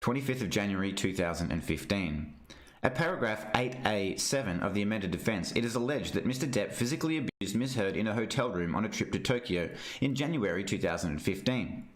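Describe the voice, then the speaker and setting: Low-voiced man reading formally in an echoey room. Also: animated, a little fast. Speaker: reader of a legal document. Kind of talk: formal read-aloud narration of a witness statement. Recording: roomy, echoing sound.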